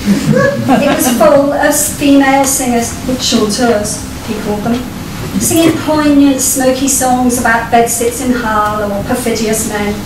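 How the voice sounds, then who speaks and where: A middle-aged woman, reading a short story aloud in a wry, theatrical storytelling style with deliberate phrasing. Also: high voice, far from the mic. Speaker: writer reading their own short story. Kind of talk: live expressive storytelling reading. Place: small vaulted performance venue, on stage. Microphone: distant camera microphone. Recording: echoey stage recording from afar, noisy room. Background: live audience ambience.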